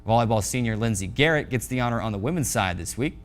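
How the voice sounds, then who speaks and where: A man's voice: medium pitch, expressive, fast, soft background music. An American man hosting a sports show, reading a scripted recap of scores in a polished announcer delivery. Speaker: sports show host and play-by-play voice.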